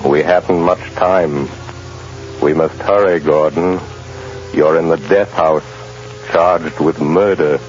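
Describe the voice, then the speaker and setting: An actor playing a mysterious, menacing avenger in 1930s radio drama style, with slow, deliberate delivery. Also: male voice, a bass voice, fairly even intonation, darkish tone. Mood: urgent, commanding. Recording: dull old radio broadcast, organ music.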